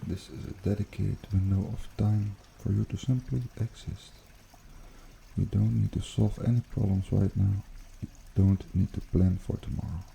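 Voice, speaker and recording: A man hosting an ASMR guided meditation in a hushed, unhurried, soothing way with gentle pauses. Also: fairly low pitch, steady tone, vocal fry, slight background noise. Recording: water trickling behind the voice. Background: pond water bubbling and trickling.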